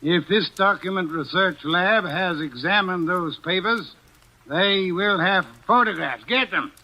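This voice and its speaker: A man's voice: high voice, measured pace, sharp tone, exaggerated voice. A man playing a stout, imperious male detective in a 1940s radio drama, precise and commanding, on a dull old recording.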